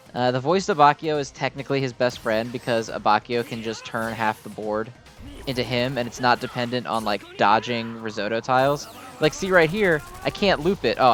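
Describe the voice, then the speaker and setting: Male gamer, medium pitch, chatty and enthusiastic fan commentary, loosely rambling, game music underneath. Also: fairly bright tone. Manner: rambling, enthusiastic fan talk with mild swearing. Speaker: gamer commenting on own gameplay. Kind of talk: casual gameplay commentary.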